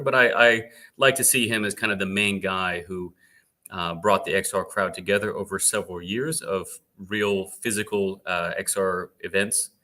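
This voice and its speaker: Low bright man, halting interview host. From United States. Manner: halting, repeats words often. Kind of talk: online fireside chat interview.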